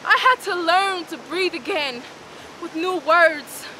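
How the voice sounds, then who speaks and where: A woman's voice: very high voice, slow, low-quality recording. A young woman performing spoken word poetry outdoors on a rocky beach, with a rhythmic, emphatic delivery. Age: young adult. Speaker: poet. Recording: outdoor recording with loud surf noise. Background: crashing ocean waves.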